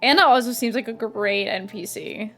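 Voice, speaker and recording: A woman streaming a video game, reacting casually out loud. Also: a little high, normal speed. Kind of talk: casual live reaction commentary. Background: game music.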